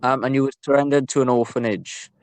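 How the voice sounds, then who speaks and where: Welsh man, mid-pitched, dry recording, podcast host, casual hesitant questioning. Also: fairly even intonation, fast talker, a little mellow, clear, resonant tone, no echo. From Wales. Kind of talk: casual interview.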